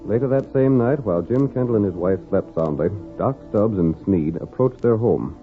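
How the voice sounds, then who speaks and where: A man's voice: fairly low pitch, lively intonation, moderate pace. A man narrating an old-time radio western drama as the show's announcer. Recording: tinny old radio recording with music.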